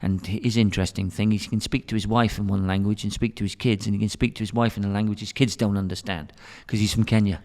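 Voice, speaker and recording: A man's voice: lowish voice, steady tone, fast, few pauses, a little mellow. A middle-aged British man speaking casually as a podcast guest, rambling and often repeating words as he restarts sentences. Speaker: podcast guest, school academic director. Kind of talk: casual interview talk. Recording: hall reverb.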